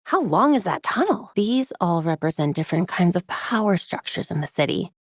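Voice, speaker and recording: A young female vigilante detective for a video game. She is determined and quick-witted, crisp and earnest with dry, wry asides. The sound is close and dry, with no room around it. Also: fairly low pitch, very animated, no echo. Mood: focused. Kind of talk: video game voice acting, short scripted lines.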